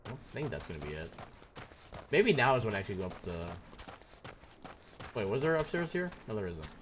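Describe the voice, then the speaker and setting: A man's voice: fairly low pitch, big pitch swings, fairly quick, slight background noise. A man streaming a video game, giving casual, wry commentary as he thinks aloud. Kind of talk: casual live gameplay commentary. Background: game music.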